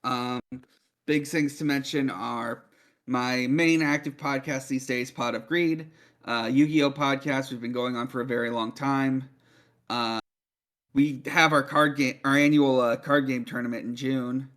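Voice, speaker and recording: Man, casual mid-pitched podcaster. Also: fairly even intonation, unhurried, fairly bright tone. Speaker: podcaster and performer. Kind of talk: casual outro plugs.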